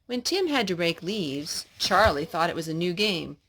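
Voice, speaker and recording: A woman reading a children's storybook aloud as a storyteller, in an animated way meant for a child, pausing to explain and ask questions. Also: lowish voice, slight background noise.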